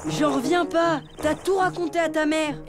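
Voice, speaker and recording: A woman's voice: high-pitched, steady tone, normal speed, natural voice. A woman voicing a cheeky, restless young elephant schoolboy in a children's cartoon, with a clownish, childlike and sulky manner. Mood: frustrated. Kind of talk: French children's cartoon dialogue. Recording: music underneath.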